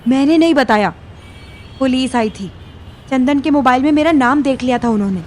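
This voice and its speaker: A woman's voice: sits high, normal speed, a little hiss or hum, natural voice. A woman playing a distressed young daughter in an audio drama, stubborn and defensive. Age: young adult. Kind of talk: Hindi audio drama acting.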